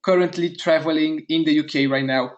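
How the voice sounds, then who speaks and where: A man's voice: fairly high pitch, steady tone, dull audio. Upbeat man hosting a live webinar. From Romania. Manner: upbeat, eager, speaks straight to a live chat audience; repeats words in quick bursts of praise. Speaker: webinar host. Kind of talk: live online sales webinar.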